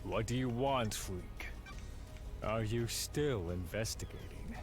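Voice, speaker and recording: An actor playing a hostile, suspicious guard in a video game, delivering short challenging lines. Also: male voice, on the low side, takes it slowly. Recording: game music behind.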